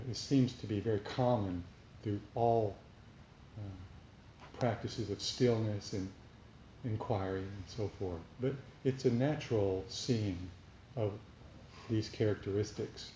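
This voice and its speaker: A man giving a reflective spiritual talk to a group as a meditation teacher, speaking deliberately with pauses between short phrases. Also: lowish voice, dark tone. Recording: roomy echo, faint background noise.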